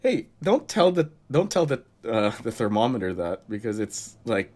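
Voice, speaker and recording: A man's voice: medium pitch, big pitch swings, moderate pace, darkish tone. A young man co-hosting a casual podcast, talking through a cold in a rambling way and chuckling often. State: has a cold. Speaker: podcast co-host.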